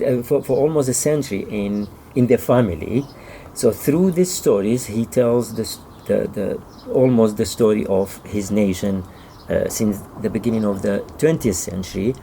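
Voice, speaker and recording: A male author gives a thoughtful interview in a soft-edged, mellow voice with plenty of gravel in it. He feels his way through each explanation, doubling back and repeating words. There is faint noise behind him. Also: medium pitch. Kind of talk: thoughtful literary interview talk.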